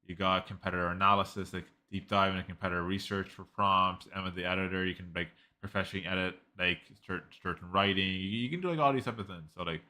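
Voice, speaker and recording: A man talks through a software review in a loose, rambling way, piling up "like" and restarting his sentences. His voice sits low but comes across bright and forceful. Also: steady tone, fast talker, hardly any pauses. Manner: rambling, heavy use of "like", frequent restarts. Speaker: tech reviewer. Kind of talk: casual screen-recorded software review.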